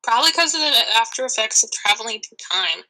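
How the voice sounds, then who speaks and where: Very bright, higher-pitched woman in casual chat. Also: expressive, fast, rough recording. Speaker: podcast guest, voice actress and writer.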